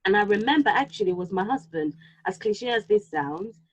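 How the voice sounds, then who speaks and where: A woman whose home tongue is Yoruba, chatting casually and reflectively, halting now and then as she thinks aloud. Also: mid-range voice, lively intonation, husky. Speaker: podcast co-host. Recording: slightly dull, lo-fi sound.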